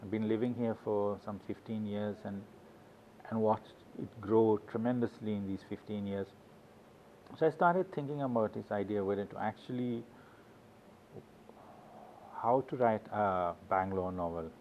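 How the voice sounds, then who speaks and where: A man's voice: on the low side, a little hiss or hum. Middle-aged guy talking english, author telling rambling stories, keeps restarting, kinda muffled lo-fi audio. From Sweden. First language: Swedish. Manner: rambling, anecdotal, halting restarts. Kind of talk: casual interview talk.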